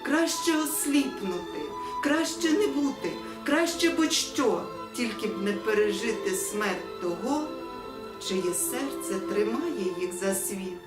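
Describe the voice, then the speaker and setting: A woman from Ukraine reading poetry in a solemn, deliberate, expressive way with dramatic pauses. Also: medium pitch. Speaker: poetry reader. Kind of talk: solemn poetry recitation with spoken introduction. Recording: echoey room, distant mic, music behind.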